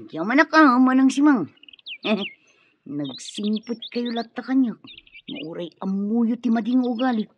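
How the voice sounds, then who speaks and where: A man's voice: extremely high pitch, animated, slow pace, pauses often, full character voice. A man playing an easygoing, obliging household worker in an Ilocano radio drama. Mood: cheerful. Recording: muffled radio drama recording, faint hiss.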